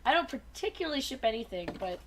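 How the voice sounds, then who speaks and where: High-voiced young woman chatting, noisy recording. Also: very animated, fast. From Alaska, USA. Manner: joking, opinionated. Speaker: co-host of a fan fiction reading show. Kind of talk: casual chat with friends.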